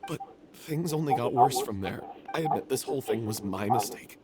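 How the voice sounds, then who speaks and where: A man's voice: mid-range voice, lively intonation, moderate pace, over a noisy crowd, close to an everyday voice. Man acting a guilt-ridden young man, swooping voice. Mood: guilty. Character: guilt-ridden, cowardly young male stage performer confessing. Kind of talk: video game voice acting.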